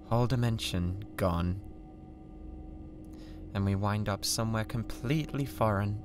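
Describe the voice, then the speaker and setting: A British man playing a cold, condescending mad scientist with a god complex in an ASMR roleplay, speaking in a deliberate, theatrical way. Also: fairly low pitch, over music, lightly acted. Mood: grandiose, controlled. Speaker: ASMR voice actor. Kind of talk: ASMR roleplay, scripted drama.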